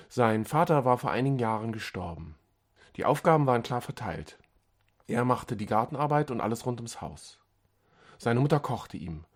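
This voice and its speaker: A man narrating a scripted short story as an audiobook, dramatically and with deliberate pauses. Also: mid-range voice.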